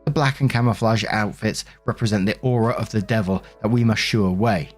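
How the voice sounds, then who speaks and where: A man's voice: lowish voice, steady tone, a little fast, a little mellow, faint music behind, natural voice. A man reading out the posts of an indignant, bossy bride in a self-righteous, emphatic manner. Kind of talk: dramatic reading of social media posts.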